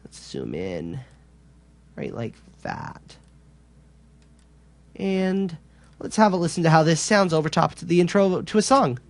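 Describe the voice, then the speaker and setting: A man presenting a software tutorial in a casual, informal way, explaining step by step a little hesitantly. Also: a little high, big pitch swings, unhurried, long pauses, slight background noise.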